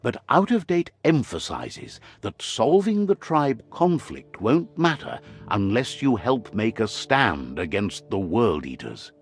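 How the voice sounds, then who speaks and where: A man's voice: lowish voice, wildly swooping pitch, slow, hardly any pauses, breathy edge, faint music behind. A man giving scripted narration for a video game, a wry storyteller who relays what the characters say.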